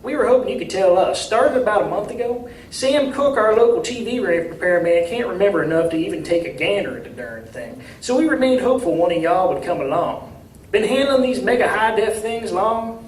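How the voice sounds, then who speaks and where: A man's voice: high-pitched, animated, fairly quick, voice pushed up. A man reading a novel aloud, voicing an eager, curious, folksy small-town Southern mayor who talks in country phrases, recorded from a distance in a room. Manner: drawling country talk with y'all and darn. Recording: distant roomy recording, faint noise.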